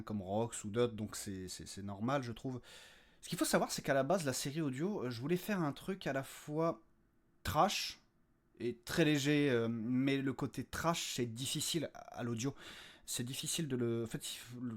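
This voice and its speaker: A man's voice: medium pitch, moderate pace. Casual, rambling man hosting a podcast. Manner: rambling, hesitant, often repeating words as he searches; jokey, crude slang. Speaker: creator and host of a fiction audio podcast. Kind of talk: informal unscripted update to listeners.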